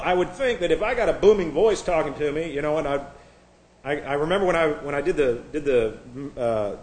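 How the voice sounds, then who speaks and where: A man from Indiana delivers a sermon in an echoey sanctuary, his voice sitting a little high for a man. He talks to the congregation like old friends, wandering into jokes and asides. When he reads scripture, he switches to a raised, formal voice. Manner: conversational preaching with asides and self-deprecating jokes. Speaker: pastor. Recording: echoey room.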